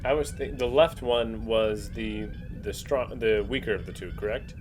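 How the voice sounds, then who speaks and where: A man's voice: on the low side, normal speed, noisy background. A man talking casually as a player in a tabletop game, discussing moves. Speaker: player, ship captain in the game.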